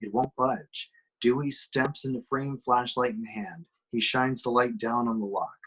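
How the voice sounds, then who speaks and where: A man's voice: medium pitch, fairly even intonation, a little slow, fairly bright tone, husky, rough recording. Male narrator, mid-range voice, reads stage directions for an amateur table read. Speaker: reader of action descriptions. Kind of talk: scripted screenplay narration at a table reading.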